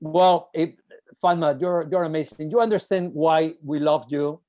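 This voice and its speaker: A man's voice: fairly high pitch, fairly even intonation, normal speed, a little mellow. A man hosting an interview, effusive and rambling, with many restarts. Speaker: ensemble director and host. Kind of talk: enthusiastic interview chat.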